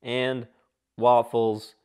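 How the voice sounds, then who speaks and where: A man in his twenties from Michigan hosting a comedy talk show sketch in a small studio, irreverent and excitable, swearing a lot. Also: medium pitch, extremely slow, smooth. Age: 20s. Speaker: host. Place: small indoor studio set.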